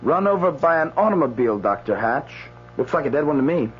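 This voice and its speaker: Nervous rookie male doctor, lively up and down voice, old radio play, muffled sound. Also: on the high side, brisk, a little hiss or hum. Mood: nervous, eager. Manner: jittery, hesitant, repeats himself. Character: flustered young male doctor, new medical examiner. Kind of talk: radio drama acting. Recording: muffled radio recording, faint music.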